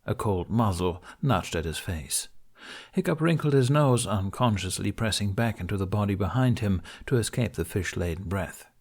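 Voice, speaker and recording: Low-pitched man narrating an audiobook. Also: expressive, moderate pace, a little mellow. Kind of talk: scripted fiction narration.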